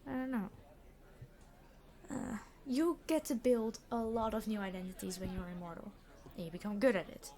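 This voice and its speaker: A woman playing a weary immortal supervillain in an ASMR roleplay, an exasperated boss who is gentle with hostages, speaking formally with dry sarcasm. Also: mid-range voice, steady tone, normal speed, long pauses, natural voice. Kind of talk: scripted ASMR roleplay acting.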